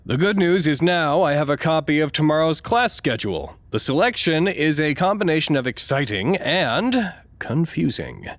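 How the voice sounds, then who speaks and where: An actor playing a formal, precise male staffer who narrates a log in a measured, wry, dryly curious way, as in an audio drama. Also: on the high side, big pitch swings, a bit bright, no room sound. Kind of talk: scripted audio drama monologue.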